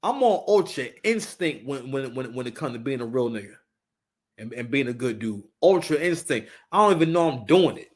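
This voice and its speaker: A man's voice: medium pitch, lively intonation, moderate pace. A man in his thirties giving casual, unscripted live commentary, talking emphatically, repeating his phrases and swearing freely. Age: 30s.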